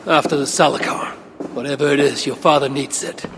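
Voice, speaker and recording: A gravelly man's performance of a Norse god from a video game, shrewd and grim. He speaks at a medium pitch with a creaky edge, like someone weighing clues. Clear ambient noise runs behind him. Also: steady tone, a little fast, lightly acted. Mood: pensive. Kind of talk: dramatic video game dialogue and muttered deduction.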